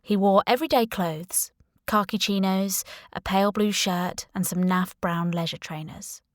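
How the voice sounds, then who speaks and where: A woman reading scripted first-person fiction as an audiobook narrator, in a wry, expressive way. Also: medium pitch, unhurried, dry recording, clean studio sound.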